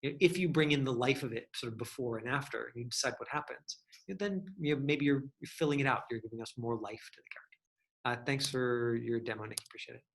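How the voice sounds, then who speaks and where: A man's voice: mid-range voice, fast, gravelly, fairly bright tone, poor audio. A man working as an acting coach, teaching and answering questions in a rambling, encouraging, conversational way. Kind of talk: casual online acting lesson with question and answer.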